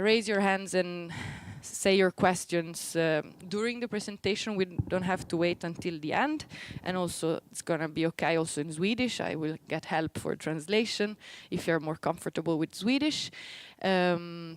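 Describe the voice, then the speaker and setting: A young Italian woman gives an informal research seminar in accented English. Her voice sits a little low for a woman and is recorded close and dry. She explains as she goes, rephrasing points in simpler terms. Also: dry recording. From Rome, Italy. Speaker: postdoctoral researcher giving a seminar. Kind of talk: informal academic presentation to a live and streamed audience.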